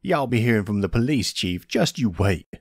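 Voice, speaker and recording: A man's voice: lowish voice, sing-song, normal speed, no room sound, clean studio sound, voice pushed up. A man voicing a self-righteous older preacher with an American Southern accent and a folksy, pompous, formal manner in an audio roleplay. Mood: indignant.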